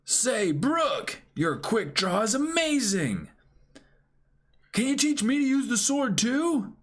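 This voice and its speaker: A man voicing an excitable young pirate crewmate in a shrill, exclamatory way for a manga reading. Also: slow, exaggerated voice. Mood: admiring.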